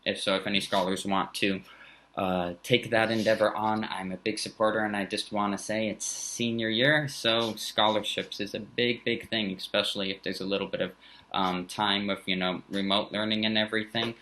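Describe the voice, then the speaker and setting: A man in his thirties, a high school teacher from the Bay Area with a slight German accent, giving an earnest recorded welcome full of fillers and restarts. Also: little pitch movement, moderate pace, sharp tone. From San Francisco Bay Area. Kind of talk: recorded back-to-school talk to parents.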